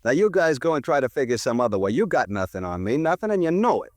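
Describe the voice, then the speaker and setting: A man's voice: medium pitch, very animated, fast, no echo, clean studio sound, natural voice. An actor playing a cocky, sarcastic ex-con murder suspect in a 1950s radio crime drama, talking in defiant wise-guy slang. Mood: defiant, mocking.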